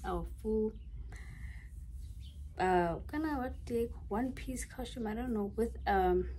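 Slow-talking young woman vlogger. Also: fairly high pitch, dark tone, slight background noise, rough recording. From South Africa. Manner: chatty, giggly, thinks aloud with restarts. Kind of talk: casual vlog chat.

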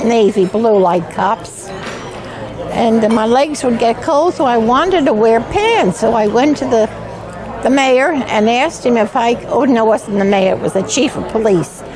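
A woman's voice: on the high side, rough recording. A hundred-year-old woman chatting casually and cheerfully about her life as an interview guest, in a plain-spoken way. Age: 100 years old. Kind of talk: casual reminiscing chat. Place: indoor lounge by a fireplace. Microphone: lapel mic. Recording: busy room chatter behind.

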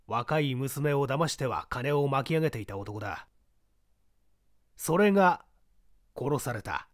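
A composed middle-aged gentleman, as a man reads him in a detective story audiobook, laying out a puzzle in measured, lecturing tones. His pitch rises and falls in wide, lively swings. The recording is close and dry. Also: no room sound. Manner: measured, lecturing, poses pointed questions.

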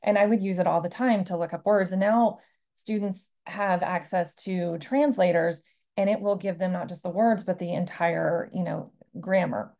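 Low, gravelly-voiced woman on a video call. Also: normal speed. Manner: lively, gestures with hands while talking. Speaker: panelist, Russian teacher. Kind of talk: online panel discussion. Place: home room, video call.

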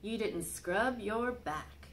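A woman's voice: mid-range voice, expressive, slow, a bit bright, a little hiss or hum. A woman voicing a fussing, loving mother dinosaur in a picture book, in a sing-song, motherly way. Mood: gently scolding. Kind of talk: picture-book read-aloud.

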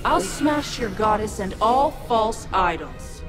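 Casting: ancient game villainess, smug, menacing, taunting, very animated pitch, music under. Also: female voice, slow. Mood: haughty threat. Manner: taunting, haughty. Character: wicked ancient female demon witch, smug and menacing. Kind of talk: video game villain acting.

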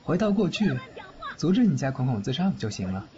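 A man's voice: mid-range voice, big pitch swings, fairly quick, a little mellow, close to an everyday voice. A man playing a teasing godfather versed in spirit magic, in a theatrical audio drama style. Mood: knowing, explaining. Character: teasing, age-shy godfather who knows spirit magic. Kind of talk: Chinese audio drama acting. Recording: muffled lo-fi, faint noise.

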